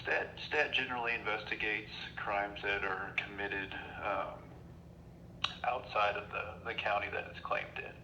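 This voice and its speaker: A man's voice: on the low side, flat delivery, a little slow, pushed and bright. A man speaking as a law enforcement official on a phone call, firm, procedural and matter-of-fact. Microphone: telephone. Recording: dull, noisy telephone line.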